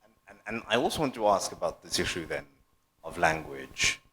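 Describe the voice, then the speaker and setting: Deep-voiced man moderating a panel. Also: animated, a little fast, bright, forceful tone. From South Africa. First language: Afrikaans. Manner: thoughtful, hesitant with repeated restarts. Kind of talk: panel discussion questions.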